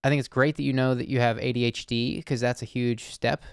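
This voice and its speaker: A man hosting an anonymous call-in circle, speaking softly and searchingly with many pauses and fillers. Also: medium pitch, level tone, smooth, no echo, clean studio sound. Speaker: host. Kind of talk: listening call-in conversation.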